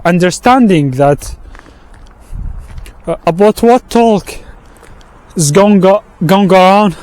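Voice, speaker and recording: A man with an Arabic accent, whose first language is Arabic, giving a walking vlog monologue outdoors in a halting way, often restarting and repeating words. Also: high voice, darkish tone. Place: outdoors on a street with passing traffic.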